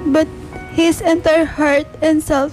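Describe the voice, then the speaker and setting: Casting: tender middle-aged mother, very high voice, slow halting radio drama delivery, music underneath. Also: little pitch movement. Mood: remorseful, hurt. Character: tearful, remorseful middle-aged mother of grown son.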